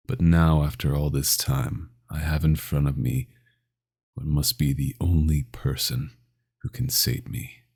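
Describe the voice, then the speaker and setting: A man's voice: level tone, measured pace, a little mellow, exaggerated voice. A man playing a possessive, domineering vampire doctor in a scripted ASMR roleplay, speaking in an intimate, condescending and menacing way. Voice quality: very deep, gravelly, often breathy.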